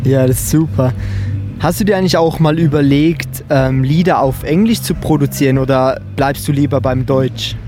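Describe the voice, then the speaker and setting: A man's voice: mid-range voice, moderate pace. A man from Switzerland with a Swiss German accent, asking brief, friendly interview questions for radio at an outdoor festival. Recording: live music playing behind.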